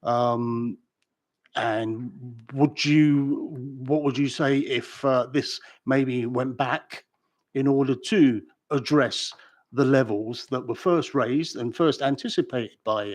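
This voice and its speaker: A British man speaks as a councillor at a planning meeting. He talks in halting stretches, with plenty of "um"s and restarts, in an ordinary mid-pitched voice. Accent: British English. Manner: halting, many fillers and restarts. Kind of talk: formal meeting questions.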